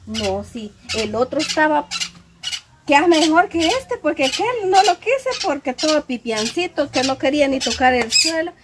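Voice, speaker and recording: A woman's voice: sits high, very animated, normal speed. An actress playing a dramatic, disapproving rural mother, who laments and complains. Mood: grumbling. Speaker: mother-in-law character. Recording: loud background noise, birds outdoors.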